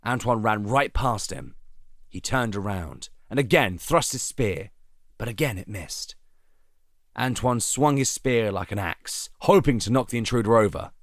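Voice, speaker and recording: A male narrator reads a dramatic scripted tale. His voice is very low, and it is recorded dry, with hardly any room sound. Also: lively intonation, measured pace, no echo.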